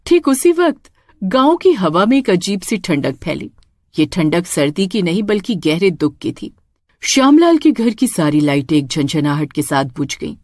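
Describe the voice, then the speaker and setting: Animated, low-pitched woman storyteller. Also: moderate pace, no echo, clean studio sound. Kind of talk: dramatic scripted story narration.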